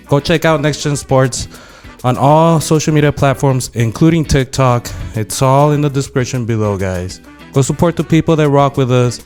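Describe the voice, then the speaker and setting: A man's voice: mid-range voice, a little mellow. A young man co-hosting a podcast, reading a sponsor spot and joking around with the guest. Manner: teasing, jokey. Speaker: podcast co-host. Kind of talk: podcast ad read and banter.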